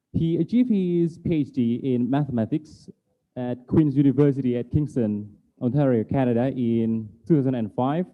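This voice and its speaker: A man moderating an online conference, reading out a speaker introduction in a careful, slightly halting way. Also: medium pitch. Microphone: video call. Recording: tinny phone-like video call audio.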